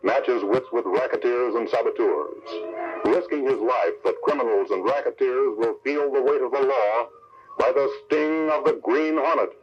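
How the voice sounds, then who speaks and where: A man's voice: mid-range voice, moderate pace. A man declaiming dramatically as announcer of a 1940s-style radio serial. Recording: dull, faintly noisy old radio recording.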